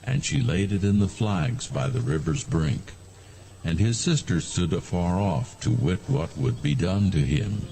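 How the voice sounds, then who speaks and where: Male scripture narrator, heavy vocal fry, slow and solemn, with expressive rises and falls. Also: deep voice, a little hiss or hum. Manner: measured, solemn storytelling.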